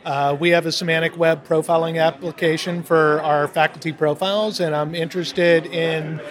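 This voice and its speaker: A man with a slightly high voice introduces himself earnestly at a conference. He corrects himself mid-sentence as he works out his figures. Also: flat delivery, moderate pace. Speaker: university staff member. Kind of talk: self-introduction in a group round.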